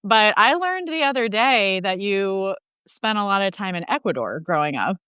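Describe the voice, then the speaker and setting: A woman hosts a casual conversation, asking curious follow-up questions and backing up her guest with short affirmations. Her voice is smooth and mid-pitched. The sound is dry and close. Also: very animated, moderate pace, pushed and bright, no room sound. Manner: friendly and curious, frequent affirmations. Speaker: host. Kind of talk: casual interview chat.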